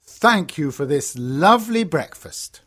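A woman voicing a kindly middle-aged merchant father in a children's storybook, earnest and expressive, with deliberate, emphatic delivery. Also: sits low, husky, pitched below the performer's own voice, heavily acted. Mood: grateful, pleased. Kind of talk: children's storybook character reading.

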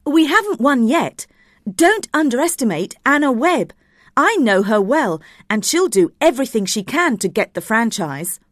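A woman's voice: on the high side, sing-song, moderate pace, dry recording, studio quality, pitched above the performer's own voice. A woman voicing a cautious, firm female TV director with an emphatic, warning manner.

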